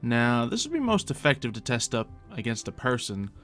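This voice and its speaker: A man with a medium-pitched voice hosts an improvised video game roleplay. He chats casually to himself, thinking aloud as he plays. Soft music runs behind his voice. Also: huge pitch swings, moderate pace. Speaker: gaming video host playing in character.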